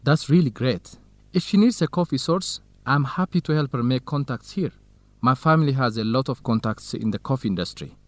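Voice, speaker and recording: An easygoing, modest young male researcher played by an actor in a radio drama. His voice sits at a middle pitch, and he talks politely and frankly in a low-key way. The sound is dry and close. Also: animated, a little fast, dry recording, lightly acted. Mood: modest, open. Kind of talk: educational radio drama acting.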